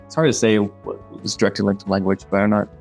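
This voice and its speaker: Hombre joven de voz grave, charla titubeante con muchas muletillas y risas, música suave de fondo. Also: male voice, lowish voice, flat delivery, fast, vocal fry, a little mellow, faint music behind. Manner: hesitant, full of fillers, laughs often. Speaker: podcast co-host. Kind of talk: casual podcast chat.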